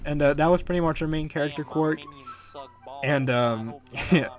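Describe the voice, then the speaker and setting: A man giving casual, unscripted commentary as the creator of a fan project, rambling and halting as he talks. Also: a little high, faint music behind. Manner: rambling, halting, chuckling now and then.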